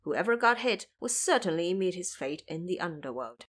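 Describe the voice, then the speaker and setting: A woman's voice: lowish voice, animated, moderate pace. A woman narrating a scripted audio drama in a dramatic storytelling manner.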